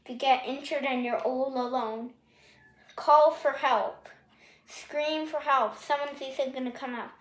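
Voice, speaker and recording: A scarred trainer for a dinosaur capture unit, played by a woman, briefs a new hire in amateur roleplay. The voice is high and slow, with halting improvised instructions in an echoey room. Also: fairly even intonation. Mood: instructive. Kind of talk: amateur in-character roleplay orientation.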